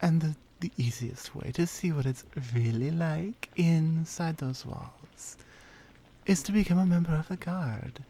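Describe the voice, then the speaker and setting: A woman voicing a strong, flirty lioness blacksmith in a roleplay ASMR audio, coaxing and gently teasing, yet considerate. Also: low-pitched, measured pace, slightly rough, heavily acted. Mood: gentle, curious.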